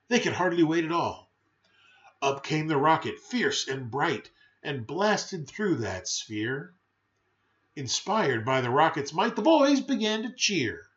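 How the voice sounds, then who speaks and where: A man's voice: medium pitch, a bit bright, highs cut off. A man reading a children's rhyming picture book aloud in a theatrical, playful, emphatic way with brief chatty asides.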